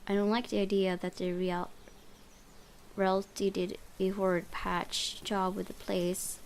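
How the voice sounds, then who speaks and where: A woman's voice: medium pitch, little pitch movement, a little slow. A woman hosting a gaming video, reading visual novel narration aloud with casual asides. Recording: clear background noise.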